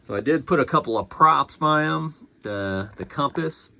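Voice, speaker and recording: A man's voice: a little high, animated, normal speed, gravelly, bright, forceful tone, a little hiss or hum. A man casually reviewing a collectible in an unscripted, conversational way.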